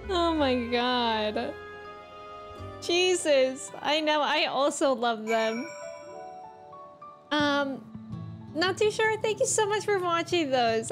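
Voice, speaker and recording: A woman with a Midwestern American accent chatting casually and excitably with viewers as she streams a game. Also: high voice. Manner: chatty, excitable, laughs easily. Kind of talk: casual livestream chat with viewers.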